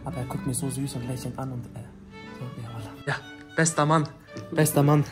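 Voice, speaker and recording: A man's voice: medium pitch, steady tone, fairly quick, music in the background. A young man from Bangladesh chatting casually in a vlog, joking and teasing with street slang, filmed on a handheld phone. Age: young adult. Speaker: vlogger.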